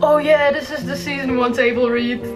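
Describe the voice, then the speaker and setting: A woman's voice: sits high, fairly even intonation, normal speed, music in the background. Casual, enthusiastic woman reacting on camera. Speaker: host of a reaction video.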